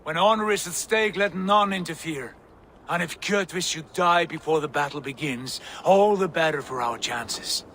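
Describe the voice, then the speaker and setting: An actor playing a confident, eloquent Viking war leader in a video game, commanding and formal. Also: male voice, a little high, moderate pace, pushed and bright, a little hiss or hum. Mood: commanding, proud. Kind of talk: dramatic video game acting.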